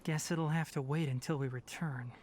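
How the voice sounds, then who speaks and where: Man voicing a calm, precise young forest ranger. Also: a little high, background noise, natural voice. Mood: mildly fussy. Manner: measured, precise, instructive. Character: young male fox-eared forest ranger, calm, practical, fastidious. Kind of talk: video game voice acting. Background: game music.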